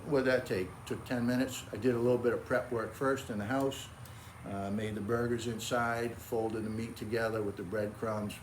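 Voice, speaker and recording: A man's voice: mid-range voice, slight background noise. Folksy older Boston man grilling outdoors. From Cape Cod, Massachusetts. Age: 60s. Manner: folksy, chatty, friendly asides. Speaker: grilling vlogger. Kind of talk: casual chat to camera.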